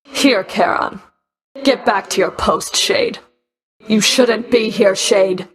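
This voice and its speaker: A woman's voice: medium pitch, slow, natural voice, poor audio. A woman playing a stern, sardonic underworld Fury in a video game, cool, taunting, curt and commanding. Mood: sardonic, menacing. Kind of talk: video game character voice lines.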